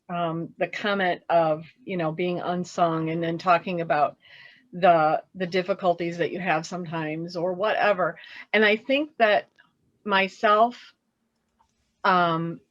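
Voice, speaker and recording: A woman speaks hesitantly over a video call, pausing to search for words. Her audio sounds dull and lo-fi. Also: lowish voice, fairly bright tone. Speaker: guest. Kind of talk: casual group conversation.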